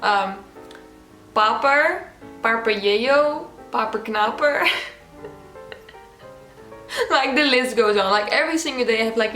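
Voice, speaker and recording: Dutch girl vlogging a q&a, lots of "like", kinda high voice, echoey room. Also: sharp tone. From Netherlands. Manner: chatty, giggly, many "like" fillers. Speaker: YouTuber answering viewer questions. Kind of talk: casual Q&A vlog.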